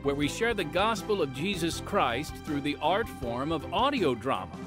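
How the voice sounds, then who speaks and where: A man's voice: a little high, very animated, moderate pace, loud music behind the voice. A man hosting and narrating a drama podcast with a polished, scripted presenter delivery.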